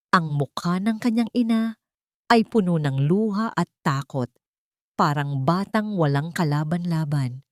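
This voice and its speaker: A woman's voice: fairly low pitch, a little mellow, dry recording, clean studio sound. A woman reading a scripted dramatic story as its narrator.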